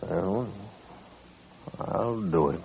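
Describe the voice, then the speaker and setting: An actor playing a stubborn but guilt-ridden American frontier townsman, plain-spoken and halting, in 1950s radio western style. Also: deep voice, lively intonation, vocal fry, darkish tone, exaggerated voice. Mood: contrite. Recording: muffled, noisy old-time radio recording.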